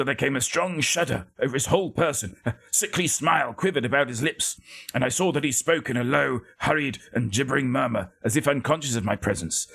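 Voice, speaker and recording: A man narrating a gothic story as a dramatic reading, theatrical and measured, with deliberate phrasing. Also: medium pitch, vocal fry, a bit bright, breathy edge.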